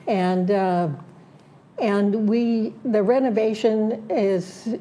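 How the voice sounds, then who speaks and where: Mellow-voiced woman reading her plans. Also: medium pitch, fairly even intonation, rough recording. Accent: Southern Californian. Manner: lists points slowly, many fillers and restarts. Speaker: community board director interviewed as candidate. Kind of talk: prepared statement in an interview.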